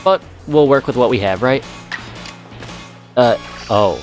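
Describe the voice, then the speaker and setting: A man commentating his own let's play with an everyday middle pitch, chatty and quick to react aloud to each turn. He sounds slightly sick, his voice a touch off. Faint game music plays underneath him. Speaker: gaming channel host.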